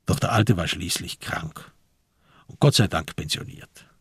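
A man with a gravelly, husky voice reading literary narration for a crime radio play. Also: low voice, measured pace, a bit bright, no echo, clean studio sound. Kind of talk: scripted literary narration with reported speech.

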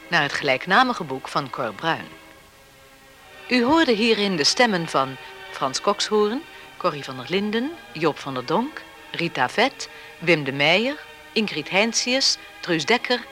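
Male announcer, high pitch, bright crisp tone, scripted radio credits, faint music.

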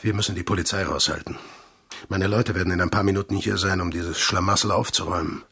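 An actor playing a gruff, weary, commanding intelligence chief in a German radio drama, terse and deliberate, with a husky, gravelly voice. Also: male voice, low-pitched, fairly even intonation, sharp tone, exaggerated voice. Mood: grimly matter-of-fact.

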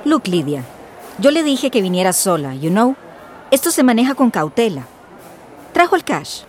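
A woman's voice: on the high side, big pitch swings, normal speed, a little mellow, slight background noise. An actress playing a slick, impatient female smuggler in a radio drama, slangy and mixing English words into her Spanish. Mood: slick, businesslike.

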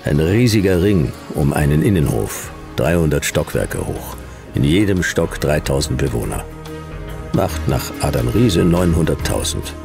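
A man playing a cynical, laconic private detective in a radio play, terse and deadpan. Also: rumbling low, unhurried, creaky, music in the background, exaggerated voice. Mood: wry, deadpan. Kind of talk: noir radio play narration and dialogue.

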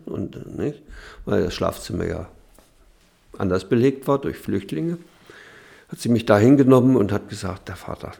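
An older man with a husky, creaky voice, haltingly recalling personal memories and searching as he goes. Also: lowish voice, warm tone.

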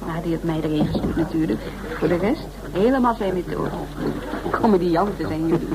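An actress in a Dutch radio drama playing a grumpy, jealous, sarcastic woman with sharp retorts. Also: on the low side, brisk, very noisy, lightly acted. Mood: teasing, sarcastic.

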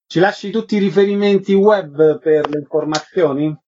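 A man's voice: a little high, normal speed, a little mellow, slight background noise. A man hosting a radio show, putting questions to guests in an interview style. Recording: slightly dull radio sound.

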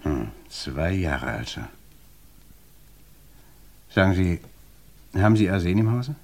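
An actor playing an investigator who questions a widow in a measured, insistent way. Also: male voice, low voice, sing-song, a little mellow, heavily acted. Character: calm, probing male investigator interrogating a widow. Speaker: interrogator. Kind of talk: radio crime play.

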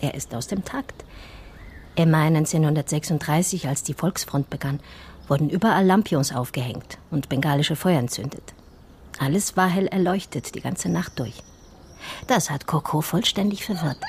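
An actress playing a composed, unhurried detective's assistant in a German radio drama, telling things in a calm, explanatory way. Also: female voice, deep voice, a little hiss or hum. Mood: calm, amused.

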